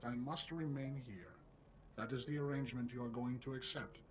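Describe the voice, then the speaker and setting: A man voicing a coldly rational, knowledge-hungry intelligence in a video game, speaking formally and deliberately. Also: mid-range voice, fairly even intonation, background noise, close to an everyday voice. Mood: cold, insistent.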